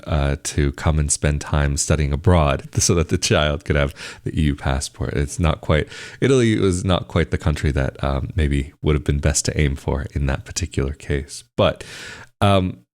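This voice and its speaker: A man co-hosting a casual talk show, speaking in a halting way with frequent restarts. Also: rumbling low, a little mellow. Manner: halting, many fillers and restarts. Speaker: podcast co-host. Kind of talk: casual conversational chat.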